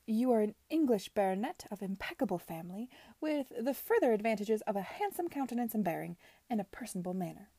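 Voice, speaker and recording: Brief: woman reading haughty older lady aristocrat, curt, condescending, mellow tone. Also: medium pitch, lively intonation, quick pace, no room sound. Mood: imperious.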